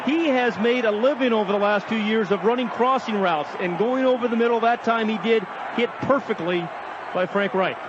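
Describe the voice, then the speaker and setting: American man, radio football analyst, high register, dull lo-fi sound with clear noise behind. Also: moderate pace, crowd noise. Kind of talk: live football commentary and analysis. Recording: dull, noisy stadium radio broadcast. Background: stadium noise.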